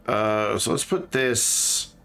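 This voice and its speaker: A man's voice: on the low side, expressive, takes it slowly, gravelly, sharp tone, smooth, soft background music. A tired man with a slightly scratchy throat, streaming a game and thinking aloud in casual chat with many fillers.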